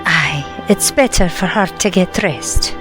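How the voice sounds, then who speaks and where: A woman playing a grieving, dignified motherly older woman in an audio drama, speaking with measured sorrow. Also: lowish voice, over music. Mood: sad, restrained.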